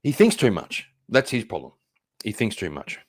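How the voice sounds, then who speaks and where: A man's voice: lowish voice, wildly swooping pitch, moderate pace. A man from Northern Ireland chatting casually on a livestream, blunt and profane, with many fillers. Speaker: streamer.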